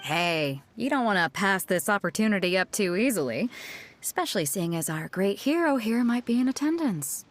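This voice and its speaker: A woman playing a brash, lively sea captain. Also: medium pitch, moderate pace, a little hiss or hum, natural voice. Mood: teasing. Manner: brash, hearty and teasing. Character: boisterous, confident female ship captain. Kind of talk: game cutscene voice acting.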